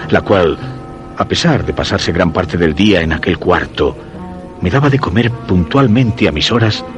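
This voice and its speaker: An actor playing an ailing, suspicious husband who narrates his macabre tale in a Spanish radio drama, measured and literary, with a Castilian accent. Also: low voice. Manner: measured, literary, brooding delivery.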